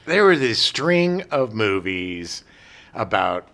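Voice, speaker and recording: A man's voice: on the low side, big pitch swings, slow pace, bright, forceful tone. A man co-hosting a podcast, talking casually about old movies in a rambling, self-correcting way. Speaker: podcast co-host.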